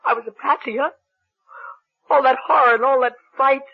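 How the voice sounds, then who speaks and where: A love-sick killer in an old American radio thriller, guilt-ridden and desperate. His voice is husky and halting, pleading as he repeats himself, on a dull, muffled broadcast recording. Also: extremely high pitch, steady tone, exaggerated voice. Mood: bitter. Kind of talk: 1940s-50s American radio drama acting.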